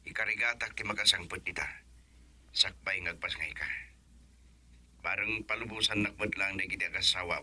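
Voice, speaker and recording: An actor playing an earnest, brooding husband in an Ilocano radio drama, with a Filipino accent. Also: male voice, sits low, sharp tone, slightly rough, exaggerated voice.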